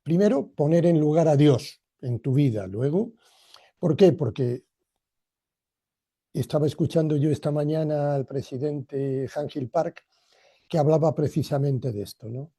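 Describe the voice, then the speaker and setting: A man's voice: a little high, a little slow, mellow, breathy edge, no echo, clean studio sound. A man gives a motivational training talk in a reflective, personal way, addressing listeners directly. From Argentina, emigrated to Spain as a boy.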